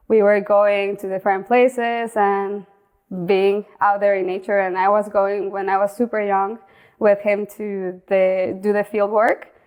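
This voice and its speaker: A woman's voice: medium pitch, darkish tone, smooth. A young adult woman from Mexico with a Mexican accent, a native Spanish speaker, talking casually as an interview guest and restarting her English phrases. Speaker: guest, outdoor content creator.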